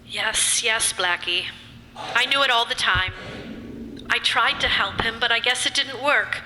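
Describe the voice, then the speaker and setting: Woman acting a sharp, pleading, cornered woman. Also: on the high side, a little slow. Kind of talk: old-time radio drama acting. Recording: reverberant hall, faint background noise.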